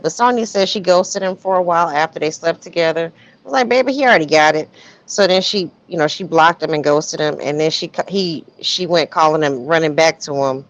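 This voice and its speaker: A woman with a slightly low voice hosts a casual gossip stream. She talks in a sassy, colloquial style and throws out rhetorical questions. The audio is dull and lo-fi. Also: dry recording. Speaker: host of a gossip livestream. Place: remote online livestream.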